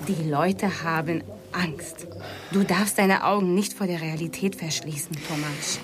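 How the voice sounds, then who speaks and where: A woman's voice: lowish voice, measured pace, background noise, natural voice. An actress playing an outspoken, troubled young female dissident in a radio play, speaking with earnest intensity. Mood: urgent, troubled. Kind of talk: naturalistic radio play acting.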